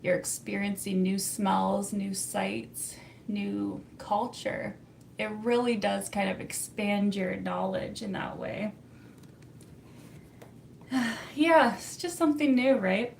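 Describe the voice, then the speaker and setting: A woman's voice: mid-range voice, creaky, a little hiss or hum. A young Canadian woman with a Canadian accent, hosting a casual livestream, chatty and laughing often as she answers viewer comments. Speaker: livestream host reading chat.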